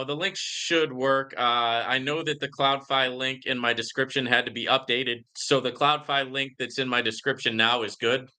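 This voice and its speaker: A man hosting a live Q&A in a casual, conversational and emphatic way, reading comments and often checking in with a quick "right?". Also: medium pitch, pushed and bright. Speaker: livestream host answering viewer questions.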